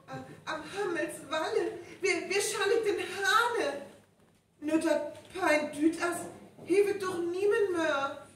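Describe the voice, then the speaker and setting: Eine Frau spielt eine aufgeregte, ängstliche Dorfbewohnerin in einem nordfriesischen Live-Hörspiel. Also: female voice, very high-pitched, slow pace, fairly bright tone, exaggerated voice. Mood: alarmed. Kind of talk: live radio play acting in North Frisian. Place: live stage performance in a hall. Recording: very echoey live hall, distant, faint noise.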